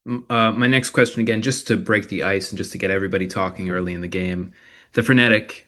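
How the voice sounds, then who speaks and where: Guy moderating an online panel, lowish voice, enthusiastic, long rambling questions. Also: fairly even intonation, fast, sharp tone.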